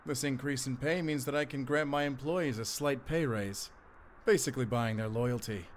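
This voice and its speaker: Audio drama: male actor as drug ring manager, medium pitch, businesslike, deferential, slight background noise. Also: normal speed, natural voice. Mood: businesslike, confident. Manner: businesslike, explanatory, polite. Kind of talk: roleplay audio drama acting.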